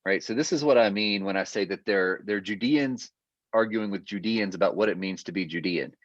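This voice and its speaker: A man with a fairly low voice gives an adult education lecture over a video call. He explains at length and pauses on fillers as he goes. The audio is dry and a little muffled. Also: dry recording. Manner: explanatory, hesitant with frequent fillers.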